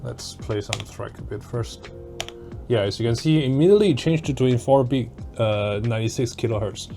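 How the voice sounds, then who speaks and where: Blunt man with a dark, mellow voice. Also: mid-range voice, moderate pace, creaky, soft background music. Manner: blunt, mildly exasperated, sweary. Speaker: tech reviewer. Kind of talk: casual hands-on gear review.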